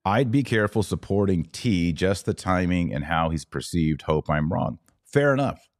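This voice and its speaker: A man's voice: sits low, expressive, moderate pace, no echo, clean studio sound. A man hosting a podcast livestream, conversationally reading out viewer comments and responding to them. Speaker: podcast host. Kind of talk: casual live-stream commentary, reading viewer comments aloud.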